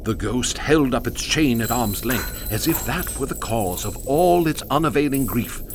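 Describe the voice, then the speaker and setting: A man reading the narration of a radio drama in a measured storytelling manner. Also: medium pitch, lively intonation. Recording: clear background noise.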